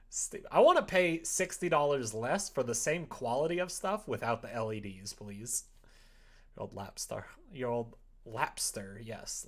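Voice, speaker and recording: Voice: medium pitch, a bit bright. A streamer chatting casually and informally, often acting out little imagined exchanges in conversation. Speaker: streamer chatting while making a visual novel. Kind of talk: casual livestream chat.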